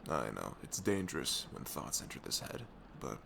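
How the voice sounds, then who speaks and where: Casting: male actor for goofy, lovestruck best friend in romantic ASMR audio; velvety, very deep voice, widely varied intonation, earnest delivery. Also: big pitch swings, creaky, background noise, heavily acted. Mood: nervous, earnest. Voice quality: soft, velvety. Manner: hesitant, halting, earnest.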